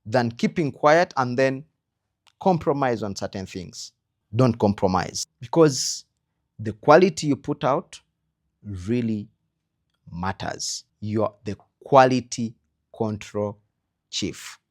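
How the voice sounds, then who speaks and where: A man with a Ugandan accent and a medium-pitched voice gives an instructional talk. He advises earnestly, puts questions to the listener and pauses to stress key points. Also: animated. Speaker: trainer in creative production quality control.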